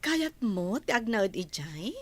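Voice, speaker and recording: An actress with an Ilocano accent playing a gentle, indulgent mother in a radio drama. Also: mid-range voice, sing-song, slow, slight background noise. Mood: caring. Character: gentle, indulgent mother talking with her child.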